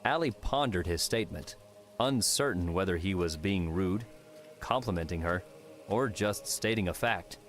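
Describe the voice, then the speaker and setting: A man's voice: low-pitched, normal speed, soft background music. A man narrating scripted fiction as an audiobook narrator.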